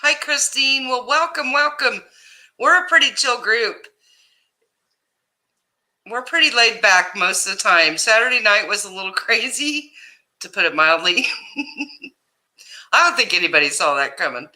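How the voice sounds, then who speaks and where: A woman hosting a casual livestream, greeting viewers by name in a loud voice that carries, chatting and laughing easily. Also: fairly high pitch, animated, a little slow, pauses often. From Ohio, USA. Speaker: livestream host.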